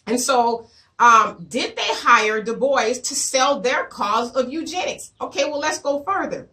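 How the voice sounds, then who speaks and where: Hoarse woman host, high and bright, slightly off-mic, emphatic commentary with rhetorical questions. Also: unhurried, recorded from a distance. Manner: emphatic, rhetorical questions, reads quotes aloud. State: hoarse, voice coming back. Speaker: show host. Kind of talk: commentary monologue to viewers.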